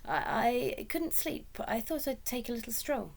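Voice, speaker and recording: A well-bred, clever lady of a storybook court, played by a woman reading aloud. Her voice sits higher, with polite, careful phrasing. She holds on to her dignity and courage under nervous strain. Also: lively intonation, unhurried. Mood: nervous, evasive.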